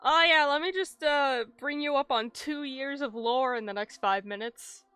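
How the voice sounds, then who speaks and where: Bright, high-voiced woman streaming a video game. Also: moderate pace, dry recording. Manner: expressive, informal, sweary, given to exaggerated dismay. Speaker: game livestreamer. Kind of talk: casual gaming commentary with read-aloud game text. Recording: game music faintly behind. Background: video game music.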